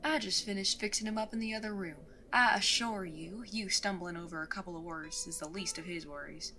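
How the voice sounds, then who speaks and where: A woman's voice: on the low side, a little fast, bright, forceful tone, slight background noise. A woman acting in an audio drama as a tough, tender outlaw mother, folksy, teasing and reassuring. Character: protective outlaw mother, wry, tough, tender with grown son.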